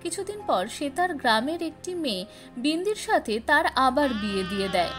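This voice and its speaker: Female cartoon storyteller, high-pitched and expressive, scripted fairy-tale narration. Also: moderate pace, soft background music.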